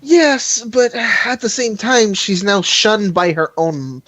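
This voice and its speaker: A podcast co-host in a casual, animated and argumentative fan discussion. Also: medium pitch, takes it slowly, no echo.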